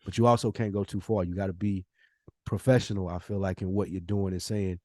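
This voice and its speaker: A man's voice: deep voice, fairly even intonation, a little fast, warm tone, no room sound. Man debating casually in a dry-sounding space. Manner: emphatic, rhetorical questioning, slangy. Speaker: podcast host. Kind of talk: opinionated casual discussion.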